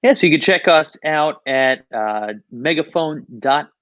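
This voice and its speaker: A man's voice: a little high, expressive, unhurried, fairly bright tone, dry recording. Man explaining things, dry dull-sounding recording. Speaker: podcast guest, podcast industry professional. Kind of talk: conversational interview explanation.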